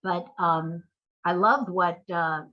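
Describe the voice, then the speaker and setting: An older woman talking as an interview guest, telling stories conversationally in halting, reflective phrases. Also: on the low side, dull audio. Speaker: playwright and screenwriter guest.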